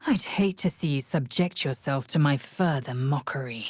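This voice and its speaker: An actress playing a fierce, proud young female alien warrior in a video game, with a husky, raspy voice and a clipped, haughty, formal manner. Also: very deep voice, moderate pace, no echo, clean studio sound. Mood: scornful. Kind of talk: video game character voice acting.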